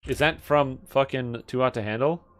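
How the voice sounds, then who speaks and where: A man's voice: mid-range voice, moderate pace. A man giving casual live game stream commentary, thinking aloud as he mutters through details and swears now and then. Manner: muttering through item stats, profane.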